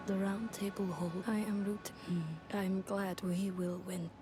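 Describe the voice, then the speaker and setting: An actress playing a solemn, resigned caretaker imprisoned in a fantasy hold, speaking in a measured, hushed, formal way in a video game. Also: female voice, medium pitch, steady tone, close to an everyday voice. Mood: quietly resolute. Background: game music.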